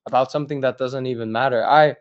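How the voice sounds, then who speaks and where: A man hosting a podcast, asking questions in an animated, enthusiastic way. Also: medium pitch, quick pace, lo-fi sound. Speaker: podcast host. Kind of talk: casual interview chat.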